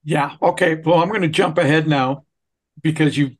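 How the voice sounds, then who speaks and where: A man's voice: fairly high pitch, steady tone, normal speed. A man hosting a conversational interview. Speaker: podcast host and interviewer.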